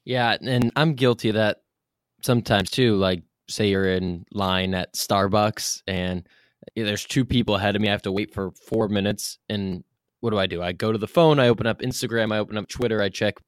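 A man hosts an interview in an informal, chatty style. His voice is on the low side, recorded dry with little room sound. He talks loosely, often dropping in "like" as he goes. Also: fairly even intonation, normal speed, dry recording. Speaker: podcast host, interviewer. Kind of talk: casual interview chat.

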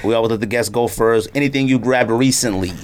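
A man's voice: mid-range voice, fast talker, clean tone. A man hosting a sneaker podcast, chatting casually in slang and telling rambling stories in a conversational way. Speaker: podcast host. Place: podcast studio.

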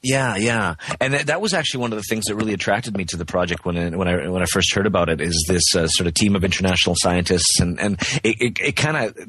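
Low voiced guy on a call, friendly, lots of restarts, dry sound. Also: a bit bright, breathy edge, dry recording. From Canada, West Coast. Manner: halting, many restarts, friendly. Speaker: actor, interview guest. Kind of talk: casual interview over the phone. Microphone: remote call.

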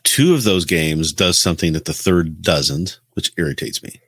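A man's voice: deep voice, expressive, moderate pace, a bit bright, breathy edge, dry recording. A man talking casually as a podcast co-host, questioning and opinionated. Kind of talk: casual chat about video games.

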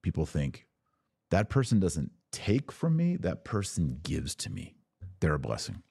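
A man's voice: deep voice, wildly swooping pitch, measured pace, gravelly, dark tone, husky, no room sound, clean studio sound. A man hosting a livestream podcast, giving informal, earnest advice and often posing questions that he answers himself. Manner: earnest, exhorting, poses questions and answers them. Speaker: podcast host answering live viewers.

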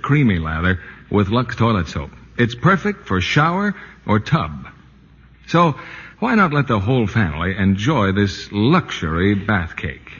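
Husky, animated 1940s radio pitchman. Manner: smooth-selling, scripted. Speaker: commercial announcer. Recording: muffled, reverberant 1940s radio broadcast.

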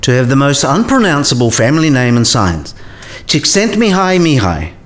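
A man playing a learned, teasing professor in a radio play, deadpan and lecturing. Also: medium pitch, fairly quick, natural voice. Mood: dry, explanatory. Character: teasing, dry-witted male professor, learned and smug. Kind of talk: acted two-person dialogue.

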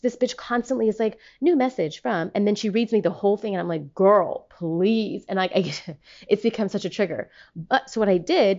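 A woman's voice: mid-range voice, darkish tone. Chatty young woman, slightly dull recording. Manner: chatty, slangy, profane, emphatic. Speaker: podcast host. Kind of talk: casual voicemail-style monologue.

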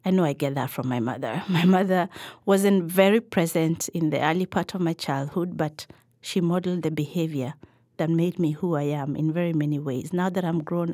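A middle-aged woman from Kenya, a podcast guest answering in a thoughtful, measured way with some fillers. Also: lowish voice, darkish tone, no room sound, clean studio sound. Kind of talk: reflective interview answers.

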